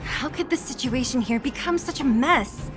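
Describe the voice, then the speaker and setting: A woman's voice: high voice, lively intonation, normal speed. An actress playing a tough, blunt young woman fighter in an anime game, with a brusque, no-nonsense delivery. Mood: surprised. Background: game music.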